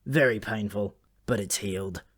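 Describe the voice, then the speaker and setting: A man's voice: on the low side, normal speed, breathy edge. Male narrator as sour dwarf prince, dry, sneering and grumbling, for a comic fantasy storybook. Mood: dry, calm. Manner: dry, scornful, complaining.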